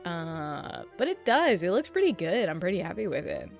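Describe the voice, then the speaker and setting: A woman streamer talks casually to her chat with a voice that still sounds sleepy. Her speech is loose and chatty, and she swears freely and laughs often. Soft music plays faintly underneath. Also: fairly low pitch, sing-song, normal speed, vocal fry. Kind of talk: casual livestream chat while setting up a 3D model.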